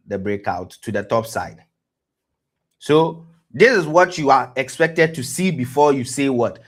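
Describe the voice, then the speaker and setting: A man with a West African accent hosting a live-streamed trading lesson, pausing to ask "what?" and answering himself, often repeating words as he goes. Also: fairly low pitch. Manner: quizzes listeners with rhetorical "what?" prompts; repeats words and restarts phrases. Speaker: trading educator, stream host.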